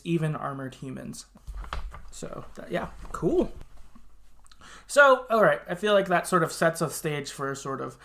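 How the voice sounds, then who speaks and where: A man's voice: a little high, fairly bright tone. Casual male podcast host. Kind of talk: casual gaming podcast chat.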